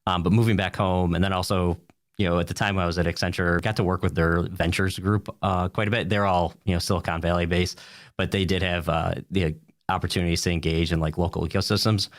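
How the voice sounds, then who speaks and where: A man's voice: sits low, flat delivery, brisk, no room sound, clean studio sound. An American man answering casually as an interview guest, rambling along with plenty of "you know" and "like". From United States, upstate New York.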